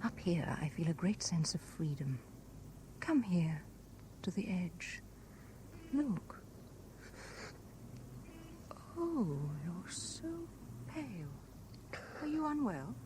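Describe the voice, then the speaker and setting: An actress with a British accent playing a wistful, enigmatic married woman in a 1970s radio drama, musing with soft intensity. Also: lowish voice, big pitch swings, slow pace, pauses often. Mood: dreamy. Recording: noisy 1970s radio broadcast recording.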